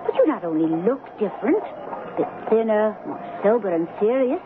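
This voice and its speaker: An actress playing a gentle, concerned young princess with refined, earnest 1970s radio drama delivery. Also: female voice, medium pitch, sing-song, moderate pace, natural voice. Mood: puzzled. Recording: muffled 1970s radio broadcast, noisy.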